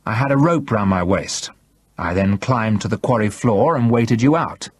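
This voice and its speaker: A man's voice: lowish voice, moderate pace, breathy edge, no echo. Man acting a defiant thriller hero, dry sound. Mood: candid. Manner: controlled, calm, defiant. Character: defiant male first-person thriller hero, bluffing calmly. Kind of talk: audiobook character acting.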